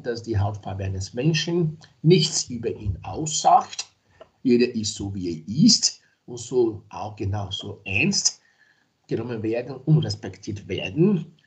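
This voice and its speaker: A man's voice: medium pitch, poor audio. A middle-aged man from Nigeria, a podcast guest talking casually and hesitantly, with many fillers and restarts. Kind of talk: casual interview talk.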